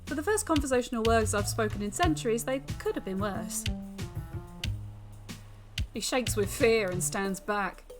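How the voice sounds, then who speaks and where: Lady reading a visual novel out loud, slow n expressive, highish voice, bit of music under it. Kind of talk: dramatic first-person narration.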